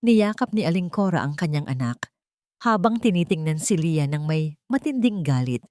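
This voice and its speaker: A woman narrates a scripted love drama. Her voice sits a little low. The recording is dry and close, with very little room in it. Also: lively intonation, normal speed, darkish tone, dry recording. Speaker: storyteller.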